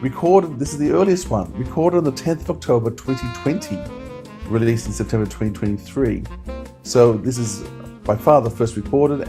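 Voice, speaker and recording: A man hosting a podcast, talking casually through a review. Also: fairly low pitch. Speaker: podcast host. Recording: music playing behind.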